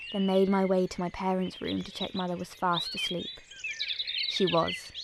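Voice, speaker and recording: A woman playing an eager young Punjabi village girl, telling her story in expressive first-person dramatic narration. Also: mid-range voice, slight background noise, lightly acted. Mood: reflective.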